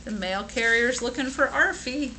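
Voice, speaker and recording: A woman reading a picture book aloud to children in an expressive, sympathetic way, with informal asides. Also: fairly high pitch, moderate pace, slight background noise, low-quality recording. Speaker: storybook reader.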